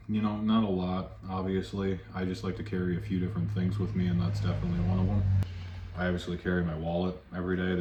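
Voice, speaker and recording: Deep-voiced man on a distant phone mic. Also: slight background noise. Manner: relaxed, rambling, with fillers. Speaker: vlogger. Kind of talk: casual vlog chat. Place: at his workplace. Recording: roomy, distant phone recording.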